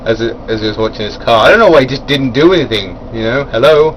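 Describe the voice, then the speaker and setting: A man's voice: medium pitch, expressive, quick pace, a little hiss or hum, rough recording. A man giving a casual, rambling spoken review of a wrestling event, full of fillers and chuckling at his own asides.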